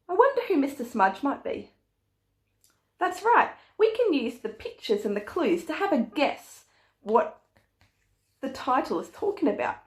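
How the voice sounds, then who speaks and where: A woman teacher reading aloud to young children, explaining things simply and deliberately and putting questions to them. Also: on the high side. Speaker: early primary school teacher.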